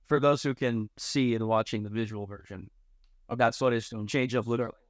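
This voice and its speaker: A man's voice: mid-range voice, steady tone, a little fast, no room sound, clean studio sound. A man co-hosting a sports podcast, asking long, conversational, somewhat rambling questions. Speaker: podcast co-host and interviewer.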